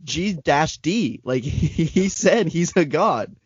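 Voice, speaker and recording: A young man in casual podcast chat, joking and laughing through quick riffs. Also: a little high, lively intonation, husky, no room sound. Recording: dull lo-fi dry recording.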